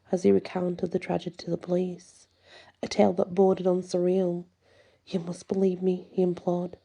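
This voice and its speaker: Woman doing asmr horror narration, real soft dark voice, gravelly fry, slow and dramatic. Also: on the low side. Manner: slow dramatic delivery, dropping into whispers. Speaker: story narrator. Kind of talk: ASMR horror story reading.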